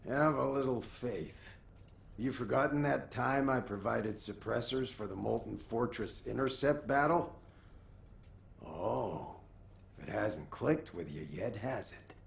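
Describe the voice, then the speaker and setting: A man playing a gruff, teasing old military quartermaster in a video game, with a gravelly voice and wry grumbling. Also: mid-range voice, slow pace, reverberant.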